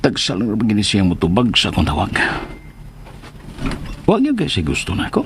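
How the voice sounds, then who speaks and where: A man's voice: fairly low pitch, wildly swooping pitch, a little fast, a little hiss or hum. An actor playing a vain, touchy middle-aged businessman in a comic radio drama, boastful and theatrical. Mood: vain, indignant.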